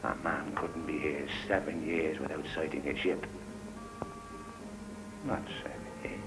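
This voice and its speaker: An actor playing a gruff, fussing old sailor minding shipwrecked children, colloquial and scolding yet tender, in a classic film. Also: male voice, rumbling low, fairly even intonation, a little slow, rough recording. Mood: troubled.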